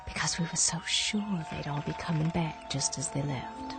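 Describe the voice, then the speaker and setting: A woman's voice: low-pitched, normal speed. A woman voicing a grieving woman weakened by fever, wistful and fragile, often sinking into whispers. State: feverish and weak. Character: grieving Southern woman waiting for her husband. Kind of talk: radio drama acting. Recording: radio drama with music behind.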